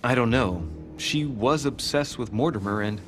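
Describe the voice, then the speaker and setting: A man acting an eager, inquisitive young gentleman investigator in a video game cutscene, with an earnest, teasing, expressive delivery. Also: medium pitch, normal speed, faint music behind, lightly acted. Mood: intrigued.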